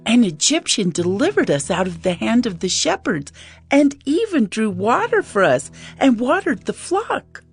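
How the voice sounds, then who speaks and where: An actress playing an excited young shepherd's daughter in an audio Bible drama. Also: female voice, fairly high pitch, normal speed, slightly rough, soft background music, heavily acted.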